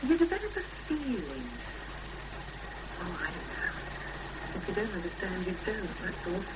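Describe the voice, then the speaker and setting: A woman's voice: fairly low pitch, big pitch swings, unhurried. An actress playing an earnest, uneasy young English woman in a 1970s British radio drama, speaking with hesitant intensity. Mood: subdued. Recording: noisy, humming 1970s radio drama recording.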